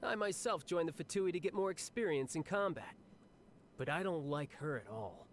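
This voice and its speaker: An actor playing a cocky, cheerful young warrior officer in a video game, speaking in a breezy, confident, conversational way. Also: male voice, fairly high pitch, animated, moderate pace, slight background noise, lightly acted. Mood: frank, resolute. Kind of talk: scripted video game character monologue.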